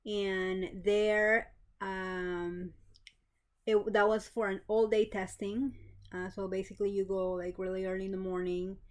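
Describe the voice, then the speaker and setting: A woman in her thirties from Peru, casually telling her own story with many pauses and restarts, plain and matter-of-fact. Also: medium pitch, darkish tone. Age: 30s. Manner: hesitant, many fillers and restarts. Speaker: kidney donor. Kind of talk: casual personal vlog.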